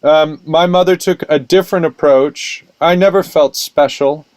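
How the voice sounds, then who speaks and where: A man's voice: a little high, steady tone, a little slow, darkish tone, slight background noise. An American man speaking as an interview guest in a wry, self-deprecating conversational way. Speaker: actor guest.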